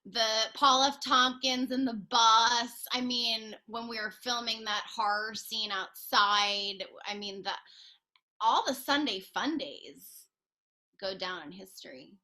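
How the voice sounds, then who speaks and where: Woman, bright and fairly high voice, casual, enthusiastic reminiscing on a video call. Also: takes it slowly. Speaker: cast member on a reunion panel. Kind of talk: casual chat.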